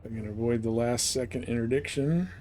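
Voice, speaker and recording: Male game streamer, casual and rambling, muttering commentary, mid pitch, faint background noise. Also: a little fast, dark tone. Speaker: game livestreamer. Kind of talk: casual live gameplay commentary. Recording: clearly noisy background.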